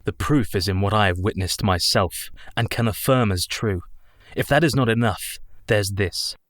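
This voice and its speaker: Casting: man as sincere young Victorian husband, steadfast, fairly low voice, dry sound, radio drama. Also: moderate pace, fairly bright tone, dry recording, close to an everyday voice. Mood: firm, earnest. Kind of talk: radio play acting.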